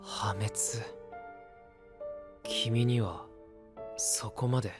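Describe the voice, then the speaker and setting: A man voicing a calm, earnest young male knight who questions gently, over background music. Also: mid-range voice, drawn-out words. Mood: concerned. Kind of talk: anime voice drama acting.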